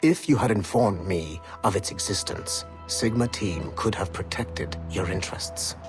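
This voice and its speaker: An actor playing a stern, businesslike field agent in a game audio log, speaking in a formal, clipped, matter-of-fact way. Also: male voice, deep voice, unhurried. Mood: resentful, firm. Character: stern, businesslike male Templar field agent hunting assassins. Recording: music under the voice.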